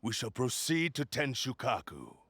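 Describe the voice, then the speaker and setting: Menacing cursed sword spirit, wildly swooping. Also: male voice, medium pitch, sing-song, moderate pace, a bit bright, husky. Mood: haughty, scheming. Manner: formal, archaic, theatrical. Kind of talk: video game voice acting.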